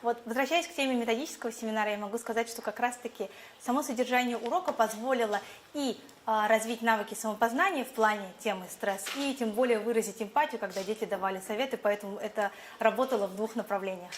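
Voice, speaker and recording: A woman's voice: a little high, fast talker, a little hiss or hum. A young woman whose first language is Buryat, a teacher answering jury questions thoughtfully with fillers and restarts. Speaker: teacher competition contestant.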